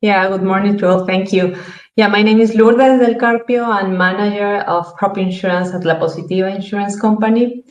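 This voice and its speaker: A woman's voice: medium pitch, fairly even intonation, moderate pace. A middle-aged woman introducing herself on a video call, listing her work history with frequent hesitations. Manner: lists credentials with frequent hesitations. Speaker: panelist, crop insurance manager. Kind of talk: self-introduction on an online panel. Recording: echoey room.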